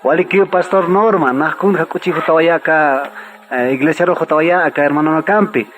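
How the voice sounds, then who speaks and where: A man's voice: fairly high pitch, moderate pace, fairly bright tone, faint music behind, close to an everyday voice. An actor playing an agreeable, deferential churchman who repeats himself, in a radio drama. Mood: agreeable, eager. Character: deferential, agreeable church man, eager to help. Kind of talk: scripted radio drama dialogue.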